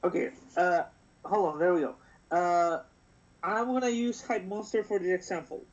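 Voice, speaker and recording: A man's voice: high-pitched, moderate pace. A man from Chile with a Chilean accent and a nasal voice, chatting casually on a live stream and rambling as he loses his thread. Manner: rambling, trails off and restarts. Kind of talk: casual chat. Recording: dull lo-fi sound.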